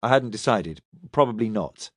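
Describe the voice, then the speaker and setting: A man's voice: on the low side, expressive, moderate pace, no room sound. Man as a guarded, stubborn suspect, dry sound. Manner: terse, controlled, defiant. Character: guarded, stubborn Englishman, taxi driver under police questioning.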